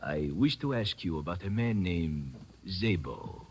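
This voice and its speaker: An actor playing a polite, probing French police inspector with a French accent in old-time radio drama. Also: male voice, deep voice, lively intonation, measured pace, breathy edge, full character voice. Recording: old radio recording with faint noise.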